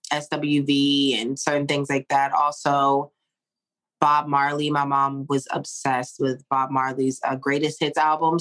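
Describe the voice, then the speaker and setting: A woman in her thirties from Pittsburgh, a singer-songwriter answering interview questions in a relaxed, rambling way. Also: deep voice, low-quality recording. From Pittsburgh, USA. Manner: relaxed, rambling with fillers and laughs.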